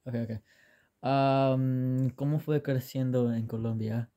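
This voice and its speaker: Young man, very dark soft-edged tone. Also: mid-range voice, fairly even intonation, slow pace. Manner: hesitant, careful learner's Spanish. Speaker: interviewer. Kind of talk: simple interview questions.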